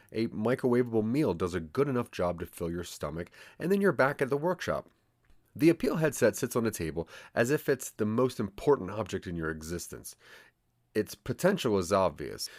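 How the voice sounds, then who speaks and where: A man narrating a scripted second-person audio drama story. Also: lowish voice.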